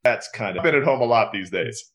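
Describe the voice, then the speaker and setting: A man's voice: mid-range voice, lively intonation, fast talker, fairly bright tone. A man from Istanbul, Turkey, explaining things casually and fluently as an interview guest, with self-deprecating asides. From grew up in Istanbul, Turkey. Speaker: podcast guest, entrepreneur.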